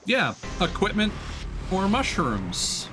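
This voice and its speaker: A man streaming a video game, reading instructions aloud carefully and adding relaxed asides. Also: high-pitched, takes it slowly. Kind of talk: let's play commentary, reading on-screen text aloud. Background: game music.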